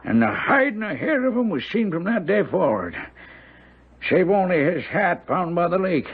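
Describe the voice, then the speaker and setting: An actor playing a kindly, gossipy country servant with a raspy voice, in old-time radio drama style. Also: male voice, high-pitched, big pitch swings, normal speed, heavily acted. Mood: gossipy, wistful. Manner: folksy, rambling storytelling. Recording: tinny, narrow-band radio broadcast.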